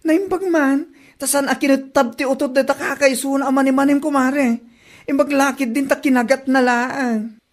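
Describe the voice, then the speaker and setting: A woman's voice: sits high, fairly even intonation, moderate pace, lightly acted. A woman with an Ilocano Filipino accent playing a teasing, gossipy village friend in a comedy drama. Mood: teasing, chiding.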